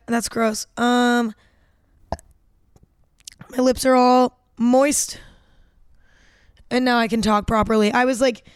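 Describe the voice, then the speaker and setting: A woman of nineteen hosting a casual solo podcast, chatty and rambling, a little hoarse with cracking voice. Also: fairly high pitch, dry recording, clean studio sound. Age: late teens. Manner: chatty, rambling, self-deprecating. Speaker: podcast host.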